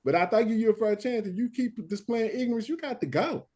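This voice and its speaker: Halting, tired late-night stream host. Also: male voice, high voice, darkish tone. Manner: halting, many restarts and "hold on". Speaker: stand-in host of a live stream. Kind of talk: casual live-stream talk.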